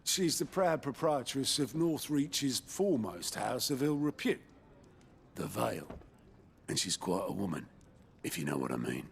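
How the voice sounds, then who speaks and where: A gruff, worldly rebel quartermaster from a fantasy game, gravelly and very animated. His English regional speech is colloquial and sly, with a faint noise behind it. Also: medium pitch, measured pace. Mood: sly, teasing. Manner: wry, colloquial, teasing. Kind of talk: video game voice acting.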